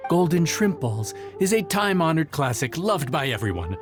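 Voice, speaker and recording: A sincere young male chef from a video game, with an expressive, mid-pitched voice. He is earnest and a bit nervous, with music clearly playing behind him. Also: normal speed. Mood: proud, sincere. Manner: earnest, hesitant. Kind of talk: video game character acting.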